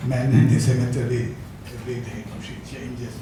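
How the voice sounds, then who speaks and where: An older man lectures at a slow, unhurried pace, pausing often on fillers and breaking into small chuckles. His voice comes from a distance in a very echoey hall with a steady noisy rumble behind it. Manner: hesitant, many fillers, chuckles. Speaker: academic speaker. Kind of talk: conference talk.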